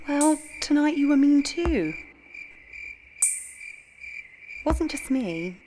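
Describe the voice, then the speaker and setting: A woman's voice: high voice, very animated, unhurried, mellow, a little hiss or hum. A woman playing a tired, candid girlfriend, affectionate yet needling, in an intimate audio drama. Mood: gently insistent.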